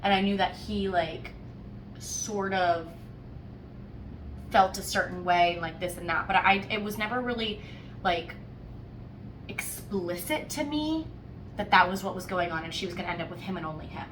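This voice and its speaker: A woman chats through a casual book review with playful, wry emphasis. Her voice sits at an average pitch and is animated in its storytelling. The recording is echoey and a little distant, with faint noise behind her. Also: normal speed, pauses often. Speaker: host of a book discussion podcast. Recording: roomy echo, distant mic, faint noise.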